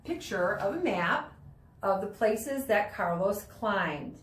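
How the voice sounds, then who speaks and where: A woman's voice: medium pitch, animated. A woman teacher reading a children's nonfiction book aloud to students in an explanatory way. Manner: explanatory, pauses to explain words and pictures. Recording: roomy, distant mic with background noise.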